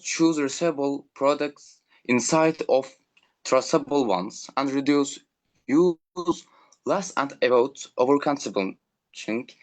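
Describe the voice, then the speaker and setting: A teenage boy from Turkey, a non-native English speaker, presenting a school talk over an online call, halting and filler-heavy. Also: mid-range voice. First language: Turkish. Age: teens. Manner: halting, reads points with fillers. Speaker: student speaker. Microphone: remote call microphone.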